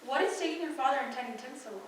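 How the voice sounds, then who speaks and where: A woman's voice: high-pitched, expressive, rapid-fire. A teenage girl playing a busy, affectionate mother in a student radio play. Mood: motherly. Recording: echoey room, distant mic, clattering noise.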